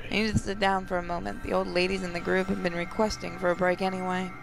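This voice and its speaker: A woman gaming host reading a visual novel aloud as expressive first-person story narration. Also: on the low side, fast talker, vocal fry. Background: music.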